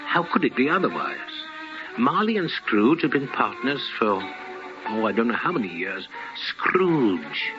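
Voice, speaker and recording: A man narrating a scripted 1950s radio drama as a theatrical storyteller who savors his words. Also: medium pitch. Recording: muffled old radio broadcast. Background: music.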